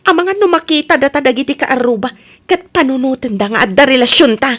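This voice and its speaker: An actress plays a young Filipino working woman who is short of money and quick to defend herself. Her voice is very high and swings freely as she pleads her case in comic radio-drama style. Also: lively intonation, fairly bright tone. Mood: insistent. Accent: Philippine. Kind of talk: Ilocano comedy radio drama acting.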